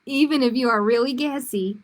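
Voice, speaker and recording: A woman hosting a children's storytime, reading picture books aloud in simple phrases with casual asides to her listeners. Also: high voice. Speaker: children's librarian, storytime host.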